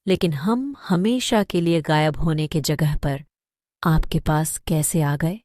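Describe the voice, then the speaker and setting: A woman's voice: deep voice, slow, darkish tone, dry recording, clean studio sound. A woman voicing a timid young girl in a cartoon story, who speaks in anxious, worried questions. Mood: puzzled.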